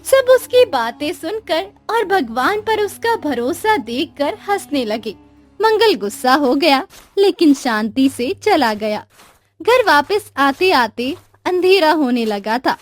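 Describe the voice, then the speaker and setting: A woman's voice: high-pitched, very animated, takes it slowly. A woman narrating a scripted moral story as the storyteller, with music behind her. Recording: music under the voice. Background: background music.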